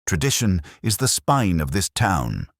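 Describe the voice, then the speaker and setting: A man's voice: sits low, sing-song, a little slow, no echo, studio quality, heavily acted. A man voicing a pompous, self-important town councillor who talks as if making a speech for posterity. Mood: pompous, aggrieved. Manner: oratorical, as if addressing posterity.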